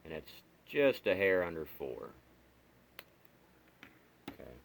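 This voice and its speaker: A man's voice: sits low, slow pace, vocal fry. A man giving a casual, unscripted product demonstration as a hobbyist reviewer, plainspoken and half talking to himself as he works. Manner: plainspoken, muttering to himself while working. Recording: steady background noise, amateur home video.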